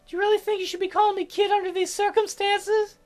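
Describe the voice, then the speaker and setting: Guy doing a super high little girl voice, sick visual novel heroine, stubborn and wistful, kinda pleading. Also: level tone, quick pace, soft background music. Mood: frank, wistful. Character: young terminally ill girl, teasing, wistful, stubborn.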